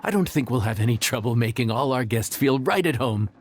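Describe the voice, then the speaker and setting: An actor playing an affable, patient inn cook who explains things in an encouraging, folksy way in a video game. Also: male voice, mid-range voice, lively intonation, a little fast, slight background noise, natural voice. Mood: reassuring. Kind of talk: video game cutscene acting.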